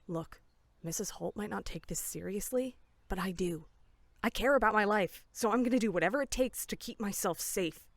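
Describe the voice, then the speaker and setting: A woman's voice: mid-range voice, moderate pace, dry recording, lightly acted. A woman playing a serious, determined young camp counselor in a horror audio drama, earnest and firm. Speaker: voice actor.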